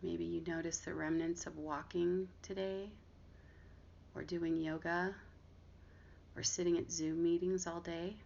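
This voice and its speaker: A woman guiding a body scan meditation, giving gentle, unhurried instructions with long pauses between phrases. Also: on the low side, level tone. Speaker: meditation guide and therapist. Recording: dull lo-fi audio with clear background noise.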